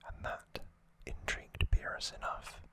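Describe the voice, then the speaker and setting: Very slow whispering ASMR voice. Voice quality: breathy unvoiced whisper. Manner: slow, hushed, measured delivery. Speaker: ASMR host. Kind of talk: whispered ASMR trivia summary.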